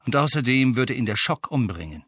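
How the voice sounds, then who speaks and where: Audiobook character, voiced by a man: gruff, scornful householder, curt commands, lively swings in pitch. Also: a little fast, no room sound, studio quality. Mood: dismissive.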